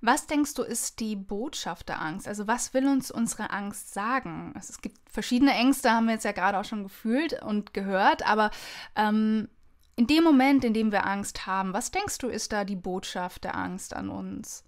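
A woman's voice: medium pitch, normal speed. A woman hosting a podcast interview, speaking in a conversational way. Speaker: podcast host.

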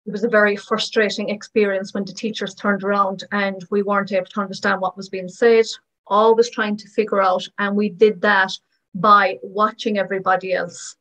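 A woman speaking as a voice-over interpreter, giving first-person testimonies of signers in short, measured phrases. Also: mid-range voice, little pitch movement, fairly bright tone, poor audio. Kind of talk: voicing first-person testimonies in plain narration.

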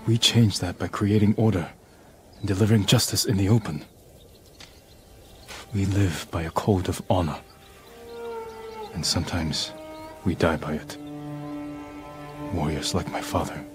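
Man playing a deep-voiced, solemn samurai warrior. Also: over music, natural voice. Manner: solemn, measured, resolute. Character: grim, honor-bound young male samurai warrior seeking to save his uncle. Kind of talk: dramatic video game acting.

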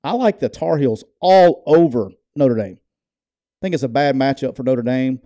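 A man's voice: medium pitch, measured pace, warm tone, no room sound. A middle-aged man co-hosting a sports podcast, brash and jokey, often stammering through restarts, speaking into a studio mic in a home studio. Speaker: podcast co-host. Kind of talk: casual sports betting chat. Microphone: headphones and studio mic.

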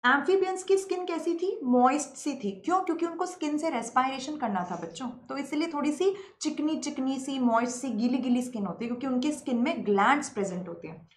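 A woman's voice: high voice, expressive, brisk. Young woman, sore throat, teasing online teacher, faint hiss in the background. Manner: playful, teasing, mixes English terms into Hindi. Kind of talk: lively live-stream lecture. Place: indoor room, green-screen beach backdrop. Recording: slightly roomy with faint ambient hiss.

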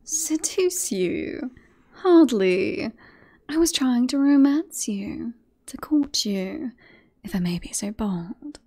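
A teasing, self-assured queen, played by a woman in a romantic fantasy audio roleplay. Her voice sits a little high, yet it is mellow and husky with breath. She flirts in formal, courtly phrases. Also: animated, takes it slowly, exaggerated voice.

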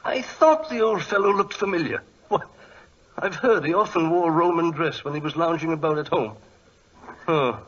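An actor with a British accent playing an excitable upper-class gentleman in a 1940s radio drama, husky and exclamatory. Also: on the high side, moderate pace. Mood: surprised. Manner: flustered, exclamatory. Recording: dull lo-fi old radio recording.

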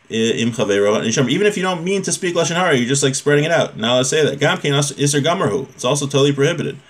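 A man's voice: mid-range voice, fairly bright tone. A man, giving an informal religious lesson in a conversational, explanatory way. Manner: conversational, often checks in with rhetorical questions. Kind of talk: informal lecture, reading a text and explaining it. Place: small home room with bookshelf.

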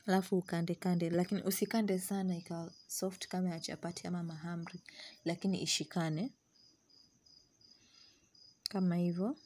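Chatty young woman, lowish voice. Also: warm tone. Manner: chatty, switches between English and Swahili. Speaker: cooking vlogger. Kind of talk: casual cooking tutorial. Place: small home kitchen indoors. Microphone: camera on counter, medium distance.

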